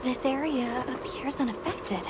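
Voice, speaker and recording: A woman's voice: high-pitched, a little slow, very noisy. An actress playing a polite, composed young woman who handles an expedition in a game cutscene. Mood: businesslike. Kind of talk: dramatic video game cutscene acting.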